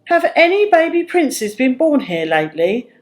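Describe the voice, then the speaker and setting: A woman's voice: high-pitched, very animated, moderate pace, voice pushed up. A woman voicing a kindly, eager old peasant woman in a storybook folktale, hospitable and exclamatory. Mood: eager, anxious.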